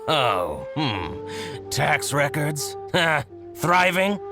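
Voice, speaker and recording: A man's voice: on the high side, sing-song, drawn-out words, exaggerated voice. A man voicing a scheming, envious shopkeeper in a video game, theatrical, grumbling and fawning. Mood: indignant. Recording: music behind the voice.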